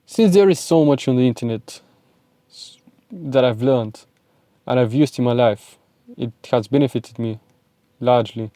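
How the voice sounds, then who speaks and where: A man's voice: mid-range voice, measured pace, pauses often, dark tone, no room sound. A young man with a Bulgarian accent, giving an emphatic, repetitive motivational talk straight to camera. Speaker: self-improvement vlogger and speaking coach.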